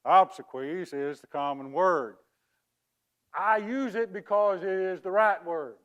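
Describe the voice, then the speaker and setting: An actor playing a smarmy con man who poses as a pious, grieving English uncle, with theatrical, drawn-out oratory in a literary comedy. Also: high-pitched, expressive. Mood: pompous explaining. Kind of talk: dramatic acting in a literary comedy.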